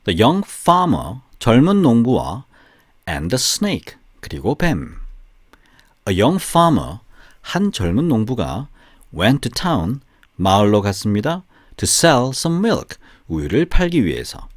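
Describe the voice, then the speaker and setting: A man reading a storybook for language learners in short, deliberate phrases, alternating with translations. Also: mid-range voice, wildly swooping pitch, no echo, studio quality. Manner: slow, deliberate, pausing between short phrases. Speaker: narrator of bilingual audiobook.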